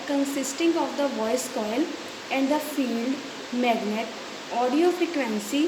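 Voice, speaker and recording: A woman with an Indian accent giving a lecture as an electronics teacher, reading out notes and explaining them, often checking in with short tags. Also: sits high. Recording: echoey room with hiss and noise.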